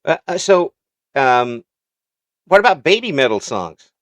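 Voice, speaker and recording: A man's voice: fairly high pitch, very animated, measured pace, no room sound, studio quality. A middle-aged man hosting a casual interview, joking and self-mocking with frequent laughs. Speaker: interview host.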